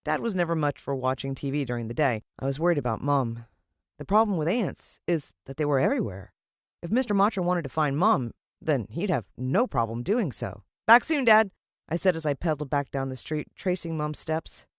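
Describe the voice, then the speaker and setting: A woman's voice: deep voice, animated, fairly quick, no echo, studio quality. A woman with an American accent reading a children's story expressively as an audiobook narrator.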